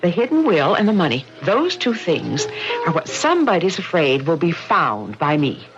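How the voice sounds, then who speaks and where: A woman's voice: fairly low pitch, huge pitch swings, normal speed, music in the background. An actress in an old-time radio drama playing a determined woman investigating her friend's murder. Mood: resolute. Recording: muffled lo-fi radio broadcast.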